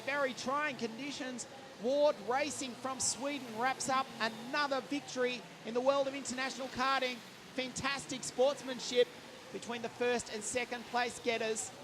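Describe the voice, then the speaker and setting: High-voiced enthusiastic male race commentator. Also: background noise. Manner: enthusiastic, rattles off names and results. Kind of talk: live motorsport commentary. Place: trackside at a karting circuit in southern Italy.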